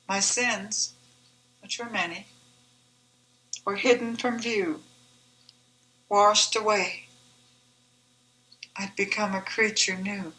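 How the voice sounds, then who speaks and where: A woman reciting her own devotional poem in a measured, deliberate, reverent manner. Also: medium pitch, steady tone, pushed and bright, poor audio. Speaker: author reading own poem.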